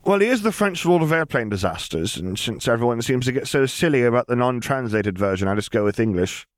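Man voicing an odd, blunt, high-voiced young girl. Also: fairly quick, no room sound, clean studio sound, natural voice. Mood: blunt, sure. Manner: deadpan, self-assured, explanatory. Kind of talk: audiobook character voice.

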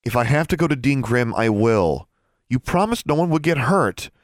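A man's voice: a little high, lively intonation, a little fast, no echo, clean studio sound, voice pushed up, natural voice. A man voicing a defiant, hot-tempered schoolgirl in an audiobook, snappy and confrontational. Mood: accusing.

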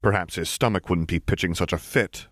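A man with a deep voice narrates scripted fiction as an audiobook reader. The sound is dry and close, with no room around the words. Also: brisk, a bit bright, no room sound.

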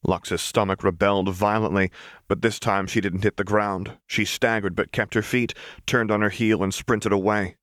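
Male audiobook narrator for action fiction: lowish voice, measured dramatic delivery, very dry close sound. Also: steady tone, a bit bright, no echo.

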